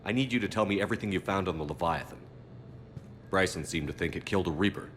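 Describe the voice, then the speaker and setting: An actor playing a resolute, no-nonsense military commander, direct and terse. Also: male voice, deep voice, fast, fairly bright tone, a little hiss or hum. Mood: firm. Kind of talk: video game dialogue.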